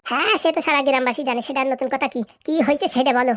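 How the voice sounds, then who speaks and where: A woman's voice: high voice, rapid-fire, natural voice. A woman voicing a bossy, scheming village housewife in a comic cartoon, with brash, scolding, rustic speech. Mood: impatient, eager.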